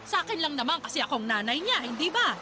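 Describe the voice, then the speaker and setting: A woman playing an overbearing older mother-in-law in a radio drama, loud, sarcastic and nagging. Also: sits high, big pitch swings, fast talker. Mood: sarcastic, scolding. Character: overbearing, scolding older mother-in-law defending her darling son. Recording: loud background noise.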